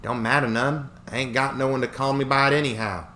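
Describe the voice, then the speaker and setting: A man voicing a hostile young female dragon-girl with a rough Southern country drawl and a snappish, suspicious manner. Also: medium pitch, fairly even intonation, a little fast, pushed and bright, pitched above the performer's own voice, lightly acted. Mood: grumbling. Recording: roomy echo, faint noise.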